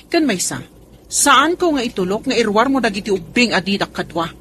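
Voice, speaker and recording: A woman's voice: mid-range voice, sing-song, fairly quick, natural voice. A woman acting in a radio drama as a jealous, defiant wife and protective mother. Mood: angry, defiant. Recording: faint background noise and ambience.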